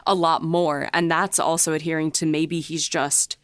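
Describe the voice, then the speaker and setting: A woman's voice: deep voice, little pitch movement, moderate pace, clean tone, no room sound, studio quality. A woman co-hosting a casual discussion, speaking in a thoughtful, tentative way. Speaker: writer and podcast co-host.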